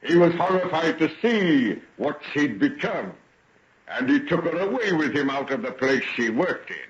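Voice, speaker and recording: An actor playing a sinister, mocking gentleman with elaborate politeness in an old radio drama. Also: a little high, very animated, a crowd in the background. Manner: taunting, theatrical, drawn-out polite phrasing. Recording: dull, noisy old radio recording with clicks.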